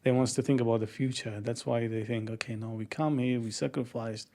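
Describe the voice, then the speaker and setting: A man with a mellow, warm-toned voice joins a relaxed podcast chat. He talks haltingly, circling back and restarting his sentences as he works out what he means. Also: mid-range voice, gravelly. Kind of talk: casual podcast conversation.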